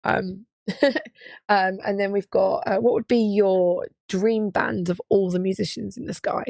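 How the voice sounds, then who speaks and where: Woman, bit hoarse and gravelly, chatty and giggly, dry close sound. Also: medium pitch, steady tone, a little slow, darkish tone, no echo. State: slightly hoarse. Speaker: podcast co-host, touring musician. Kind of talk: casual live podcast chat.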